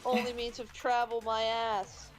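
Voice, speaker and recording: A woman's voice: a little high, level tone, a little slow. A woman doing informal gameplay commentary as a co-host, explaining things casually. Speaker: let's-play co-host. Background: game music.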